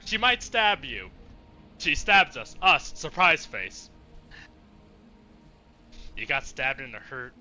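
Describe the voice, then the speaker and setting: A man's voice: fairly high pitch, sharp tone, lo-fi sound. A man doing casual, sweary let's play commentary, reacting with exasperation as he streams. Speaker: video game streamer.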